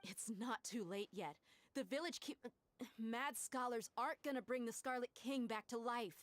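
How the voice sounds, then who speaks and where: A bold, fiery female mercenary, voiced by a woman in a video game. Her voice sits a little high. She talks bluntly and challenges others. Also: normal speed, dry recording, close to an everyday voice. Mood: urgent.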